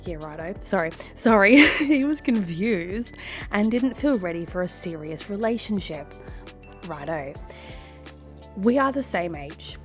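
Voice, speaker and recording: Casting: young woman host, croaky from a cough, sassy reaction commentary, faint music behind. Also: medium pitch, lively intonation, unhurried. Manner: sassy, laughs often, mutters asides. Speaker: host reading and reacting to online posts.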